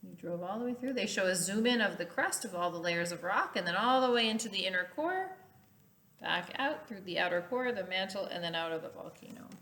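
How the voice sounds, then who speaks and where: A woman reading a children's picture book aloud like a teacher, expressively, pausing to explain words. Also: mid-range voice, lively intonation, fairly bright tone.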